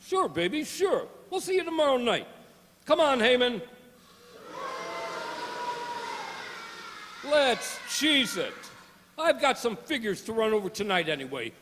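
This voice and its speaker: A man acts out a lovesick, blustery gangster kingpin for a radio play. His voice is very high and swoops about in old-time mobster slang. It rings in a big, noisy hall. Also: sing-song, normal speed. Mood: smitten, breezy. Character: slangy, lovesick 1920s gangster boss playing a king. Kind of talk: amateur radio-play acting. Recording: reverberant hall with clear background noise.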